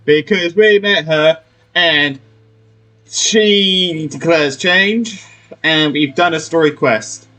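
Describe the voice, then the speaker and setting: A man's voice: a little high, slow pace, bright, forceful tone, soft background music. A man giving casual let's play commentary, reactive and playful, often repeating short phrases. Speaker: gaming video creator.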